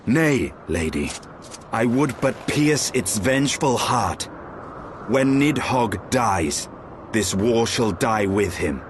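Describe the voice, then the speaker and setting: An actor playing a grim, proud, dragon-slaying knight in a fantasy game, stern, formal and measured. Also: male voice, on the low side, expressive, background noise. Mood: resolute. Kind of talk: archaic fantasy game cutscene acting.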